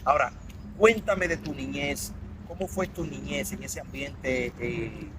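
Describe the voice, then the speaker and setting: A man hosting an interview, introducing his guest in an animated, promotional way. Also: medium pitch, unhurried. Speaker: interview host. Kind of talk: conversational interview. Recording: outdoor traffic noise.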